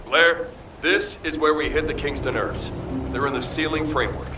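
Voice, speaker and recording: A man's voice: on the high side, moderate pace, natural voice. A man playing an easygoing, teasing squad soldier in a video game. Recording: distant, loud music behind.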